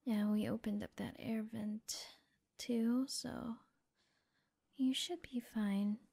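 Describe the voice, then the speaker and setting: A woman playing a friendly, gently teasing mecha mechanic in an ASMR roleplay, soft-spoken and often whispering, murmuring reassurance as she works. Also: on the high side, fairly even intonation, creaky, husky, full character voice. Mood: gentle, focused. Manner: unhurried, murmuring reassurance while busy working.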